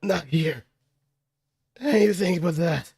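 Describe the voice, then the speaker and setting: A man's voice: fairly high pitch, moderate pace, darkish tone, husky, dry recording, clean studio sound, pitched above the performer's own voice. A man voicing a defiant mecha pilot under heavy fire, in the dramatic style of a video game battle. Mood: panicked shouting.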